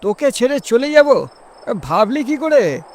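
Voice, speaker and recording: A man's voice: very high-pitched, very animated, unhurried, slight background noise, voice pushed up, heavily acted. A man voicing an eerie, cold, evasive young male friend in a horror story. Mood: eerie, reproachful.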